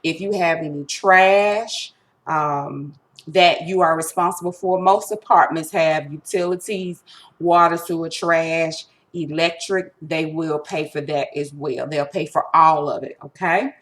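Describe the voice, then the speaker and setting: A woman's voice: sits low, fairly even intonation, a little slow. A woman presenting an informal step-by-step tutorial, instructive and emphatic, often checking that listeners follow. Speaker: resource center presenter giving a tutorial.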